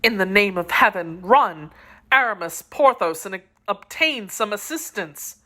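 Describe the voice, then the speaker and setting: Storybook: passionate young swordsman shouting for help, a woman reading. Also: mid-range voice, animated, unhurried. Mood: frantic.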